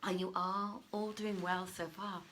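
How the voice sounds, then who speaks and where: A middle-aged woman reading old tales aloud in a deliberate, theatrical way, with emphatic pauses. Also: mid-range voice, level tone, a bit bright. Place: indoors, living room by a fireplace.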